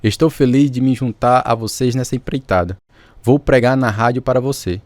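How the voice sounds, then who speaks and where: An actor playing a resolute, devout pastor in a radio drama, speaking in a solemn, formal way. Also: male voice, medium pitch, normal speed, darkish tone, no room sound, lightly acted. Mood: solemn resolve. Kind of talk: scripted radio drama dialogue.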